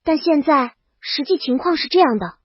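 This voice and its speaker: A woman's voice: sits high, animated, normal speed, no room sound. A woman reading a scripted first-person story as an audiobook narrator. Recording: dull, lo-fi dry voice track.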